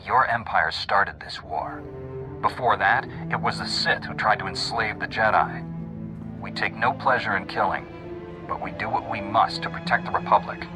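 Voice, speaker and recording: An actor playing a principled male Jedi hero in a video game, calm, dry and resolute. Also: on the low side, moderate pace, close to an everyday voice. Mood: stern calm. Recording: music under the voice.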